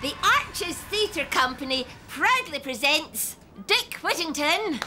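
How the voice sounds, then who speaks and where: A woman's voice: sits high, sing-song, takes it slowly, bright, forceful tone, heavily acted. A woman with a Scottish accent playing a cheerful, exclamatory stage actress in a children's pantomime show. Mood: grand, playful. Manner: exclamatory, playful. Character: cheerful actress mum playing pantomime orphan boy, big and bubbly. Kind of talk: theatrical children's pantomime acting. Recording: music behind the voice.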